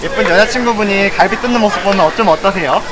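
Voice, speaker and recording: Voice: mid-range voice, quick pace. An interviewer asking casual questions on location in a busy restaurant. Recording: noisy crowded restaurant, loud chatter.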